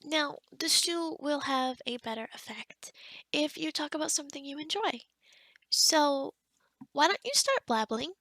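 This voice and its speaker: A woman's voice: high-pitched, animated, measured pace, bright, forceful tone, dry recording, exaggerated voice. A woman playing a kind, scatterbrained forest witch in an ASMR roleplay, rambling and muttering to herself with whispery asides. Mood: friendly, helpful.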